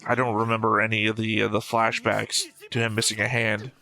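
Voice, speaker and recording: A man's voice: on the low side, completely flat delivery, fairly quick, bright, forceful tone, soft background music. A man giving blunt, opinionated, casual commentary as a reaction video host, swearing freely.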